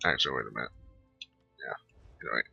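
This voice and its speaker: A man casually commenting on his game and reading choices aloud, with a low, very bright, husky voice. His mic is dry and dull, lo-fi. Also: quick pace, faint music behind. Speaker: gamer playing through a game.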